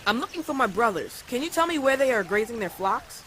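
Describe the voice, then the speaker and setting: A naive, earnest young shepherd in a Bible audio drama. His voice is bright and swings with animation. Faint outdoor ambience sits behind it. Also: extremely high pitch, sing-song, fairly quick, a little hiss or hum, exaggerated voice. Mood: willing, polite. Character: eager, naive seventeen-year-old dreamer shepherd boy.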